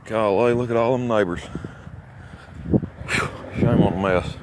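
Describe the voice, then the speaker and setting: A man's voice: lowish voice, unhurried. A man with an Alabama Southern accent giving a rambling, folksy, boastful and coarse commentary as he walks outdoors. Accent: Alabama Southern American. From Alabama, United States. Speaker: amateur vlogger. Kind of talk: rambling walk-along commentary. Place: outdoors, walking along small-town streets. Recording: noisy outdoor recording with traffic sounds. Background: outdoor ambience, passing vehicles.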